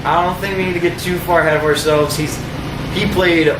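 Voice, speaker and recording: A man's voice: on the high side, steady tone, a little fast. Young man debating sports through heavy noise. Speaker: guest sports commentator. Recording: very noisy, echoey room, distant.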